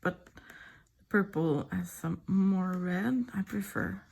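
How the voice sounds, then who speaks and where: A middle-aged woman with a French accent, speaking French as her first language, chats softly, often in a whisper, while showing her sketchbook drawings at home. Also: lowish voice, fairly even intonation, slow, creaky. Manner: soft-spoken, often whispering. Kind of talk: casual chat.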